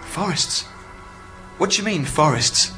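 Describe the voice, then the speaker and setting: A man plays a lonely British astronaut stranded far from home in a radio drama. His high-pitched voice is anxious, halting and full of disbelief, over prominent music. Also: expressive, pushed and bright. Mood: startled, incredulous. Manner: agitated, incredulous, halting.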